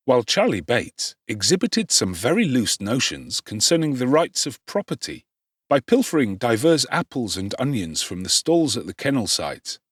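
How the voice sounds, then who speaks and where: A man narrating a classic novel in a measured, formal storytelling manner with expressive emphasis. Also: mid-range voice, slightly rough, dry recording, studio quality. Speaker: audiobook narrator.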